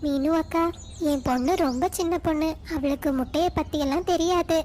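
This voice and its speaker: A woman voicing a polite, caring mother sparrow who gives respectful advice in an animated moral story. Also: high-pitched, a little fast, slight background noise, lightly acted. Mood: polite, concerned. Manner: respectful, gently advising.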